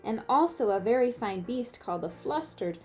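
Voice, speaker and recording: A woman's voice: a little high, lively intonation, normal speed, faint music behind, natural voice. A woman voicing an eager, boastful young boy in a storybook, in singsong rhyming declarations.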